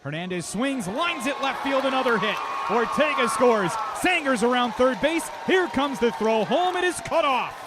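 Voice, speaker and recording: A high-pitched male baseball announcer calls the game live for radio. He chats easily between pitches and raises his energy when the play moves. Loud ballpark ambience runs behind him. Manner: conversational between pitches, excited calls on action. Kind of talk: live radio sports commentary. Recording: live ballpark broadcast with loud background noise. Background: outdoor ballpark ambience.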